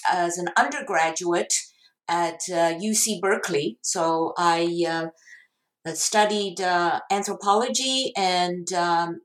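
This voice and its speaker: A woman from Taiwan, a professor, answering interview questions slowly with many pauses and fillers. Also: mid-range voice, roomy sound, rough recording. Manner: slow, hesitant, many fillers. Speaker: guest professor.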